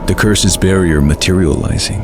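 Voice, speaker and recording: A man narrating a scripted fantasy story in a measured, dramatic storytelling manner. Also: deep voice, music in the background.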